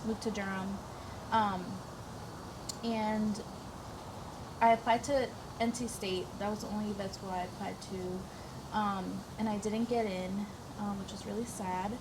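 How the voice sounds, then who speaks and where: A young woman from the northeastern United States speaking hesitantly, with many pauses on "um", as a veterinary student. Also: medium pitch, little pitch movement. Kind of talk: casual group interview. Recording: distant mic with clear background noise.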